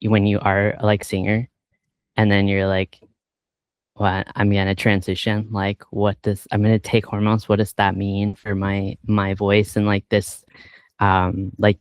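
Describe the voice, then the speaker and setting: A man hosting a casual interview, speaking in a relaxed way with lots of filler words. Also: deep voice, clear, resonant tone, no echo. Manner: relaxed, filler-heavy, many likes. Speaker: interview host.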